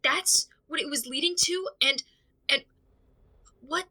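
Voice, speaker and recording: A woman playing a rattled, fearful young character in an audio drama monologue, speaking in a halting, stammering, overwhelmed way. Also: high voice, pushed and bright, full character voice. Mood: stunned disbelief. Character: rattled, fearful young listener to secret tapes.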